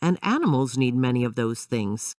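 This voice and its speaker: A woman's voice: a bass voice, big pitch swings, moderate pace, a little mellow, no room sound, clean studio sound, recorded from a distance. A woman voicing a patient father who explains things to his young daughter in a children's storybook, in an instructive manner. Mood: affirming.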